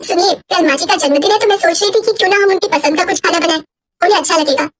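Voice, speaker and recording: A woman's voice: very high voice, racing. A woman voicing a humble, dutiful, poor daughter-in-law with an earnest manner in a cartoon story. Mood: caring. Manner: meek, earnest. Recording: clear background noise.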